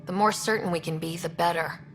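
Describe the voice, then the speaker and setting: An actress playing a young, inquisitive space explorer in a video game, asking things in a direct, businesslike way. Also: female voice, fairly low pitch, fairly even intonation, a little fast, faint music behind, close to an everyday voice. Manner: direct, plain questioning. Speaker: player's explorer character.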